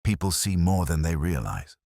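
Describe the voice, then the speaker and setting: A man voicing a calm, polite police inspector in an audio drama, gently and patiently questioning. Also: deep voice, moderate pace, gravelly, dry recording, clean studio sound, exaggerated voice. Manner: gentle, pleasant, quietly probing. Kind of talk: audio drama character reading.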